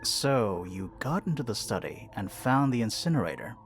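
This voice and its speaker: An actor playing a casual, inquisitive detective in a video game, probing in an informal, mildly baffled way. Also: male voice, mid-range voice, big pitch swings, moderate pace, close to an everyday voice. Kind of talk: video game voice acting, conversational. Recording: background music under the dialogue.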